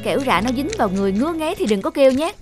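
An impatient cartoon mother with a short temper. She scolds in a high voice, and music plays clearly behind her. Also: animated, very fast. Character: hot-tempered, hurried young mother of a small boy. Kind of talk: cartoon dubbing.

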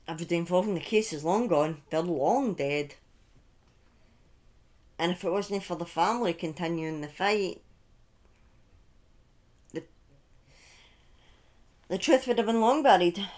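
A middle-aged woman telling a true-crime story informally on a livestream, speaking deliberately with pauses and emphatic repetition, close to a desk microphone. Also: fairly low pitch. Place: small indoor room at home. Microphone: close desk microphone on a boom arm.

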